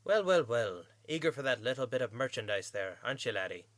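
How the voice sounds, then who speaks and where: A man voicing a shrewd, condescending male pony merchant, high and flighty, in an audiobook-style story. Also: very animated, a little fast, fairly bright tone, dry recording. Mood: sly, condescending. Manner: condescending, wheedling sales patter.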